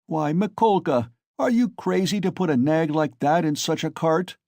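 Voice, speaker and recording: A man's voice: fairly high pitch, lively intonation, normal speed, a little mellow, dry recording, clean studio sound, pitched above the performer's own voice. A man voicing a mocking male peasant jeering from a crowd. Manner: jeering, incredulous.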